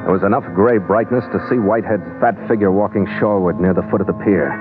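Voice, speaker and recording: An American man acting an easygoing sea captain who narrates his adventures in measured, vivid, dryly understated old-time radio style. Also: on the low side, natural voice. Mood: grim, tense. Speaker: lead actor and narrator. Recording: muffled vintage radio recording. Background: loud dramatic music.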